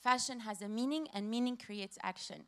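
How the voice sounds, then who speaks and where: A woman in her thirties from Lebanon, giving a hurried, passionate conference talk from a stage with slides, repeating key phrases. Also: on the high side, a bit bright, smooth. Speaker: conference speaker.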